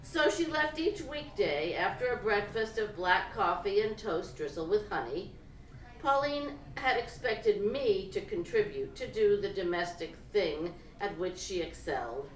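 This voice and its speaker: A woman gives an expressive literary reading of first-person prose at a live event, phrasing it deliberately and dramatically. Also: mid-range voice. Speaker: reader of fiction at a live event. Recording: roomy, distant live-event recording with noise.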